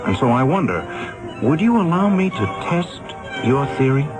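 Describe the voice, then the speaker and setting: An actor playing a timid middle-aged metallurgist in a radio drama, speaking hesitantly and deferentially. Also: male voice, fairly high pitch, sing-song. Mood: earnest, uneasy. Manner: hesitant, halting, hedging. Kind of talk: 1970s radio drama acting. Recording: vintage radio drama with music bed.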